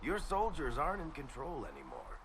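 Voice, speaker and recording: A man's voice: on the high side, wildly swooping pitch, fairly quick, background noise. An actor playing a wry, roguish crossbowman in a fantasy video game. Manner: wry, sardonic. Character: roguish, wisecracking male crossbowman, fond of his weapon.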